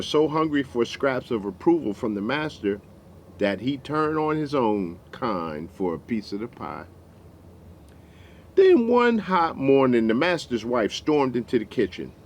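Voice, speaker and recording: An American man hosting and telling a story in a deliberate, folksy, dramatic way. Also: medium pitch. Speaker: storyteller, show host. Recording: music behind the voice.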